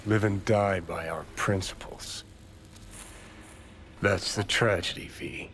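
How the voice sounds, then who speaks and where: Jaded rebel ex-soldier, lowish voice, blunt, profane, sardonic, video game drama, faint noise behind. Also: male voice, fairly even intonation, slow pace, fairly bright tone. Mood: brooding, cynical. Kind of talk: cinematic video game acting.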